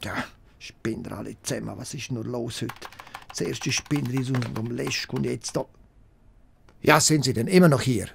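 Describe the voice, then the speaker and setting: A man's voice: medium pitch, normal speed, vocal fry, slight background noise. An actor playing a brusque, dismissive male city mayor in a radio drama, curt and impatient.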